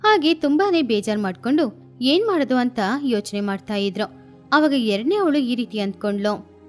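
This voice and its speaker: A woman narrating a children's cartoon story in a steady, explanatory way. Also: on the high side, normal speed. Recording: faint music behind.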